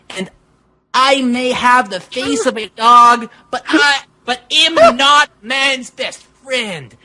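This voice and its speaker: A man voicing an earnest dog-faced wrestler who pleads melodramatically in halting broken English. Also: very high voice, sharp tone, heavily acted.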